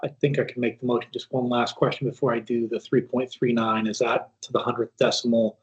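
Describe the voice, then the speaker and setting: Muffled, lo-fi sound carries a man with a rough, husky voice at a medium pitch. He speaks as a board member in a formal meeting. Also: flat delivery, fairly quick. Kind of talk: formal meeting question and motion. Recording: dull, lo-fi meeting audio.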